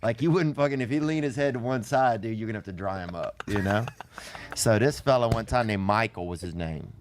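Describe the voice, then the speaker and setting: A man telling comic stories casually in a rambling, colloquial way with folksy deadpan phrasing. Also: lowish voice, a little fast, a little hiss or hum. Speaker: podcast storyteller. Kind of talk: casual comedic storytelling.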